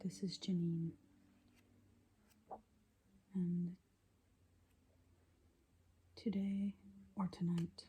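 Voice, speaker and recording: A woman reading poetry in a gentle, deliberate, breathy ASMR whisper. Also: on the low side, little pitch movement, slight background noise, rough recording. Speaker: poetry reader.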